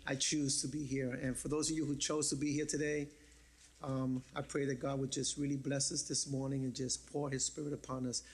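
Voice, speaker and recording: A pastor from the Bronx, preaching a sermon in a lively, joking, conversational way. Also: male voice, medium pitch, fairly quick. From the Bronx, New York.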